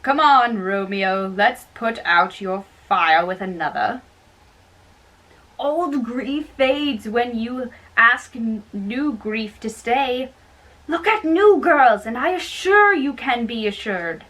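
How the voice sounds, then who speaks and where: Slow-paced woman reading a play aloud. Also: fairly high pitch, lively intonation, fairly bright tone, distant mic, rough recording. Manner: halting, repeats and corrects lines. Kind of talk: amateur dramatic play reading.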